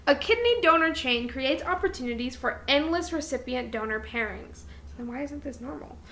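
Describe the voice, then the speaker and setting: A woman's voice: high voice, expressive, normal speed, pushed and bright. A young woman co-hosting a podcast, chatting casually with a friend and explaining things informally, acting out quotes as she goes. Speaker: podcast co-host. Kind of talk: casual chat between friends. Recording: roomy echo, faint background noise.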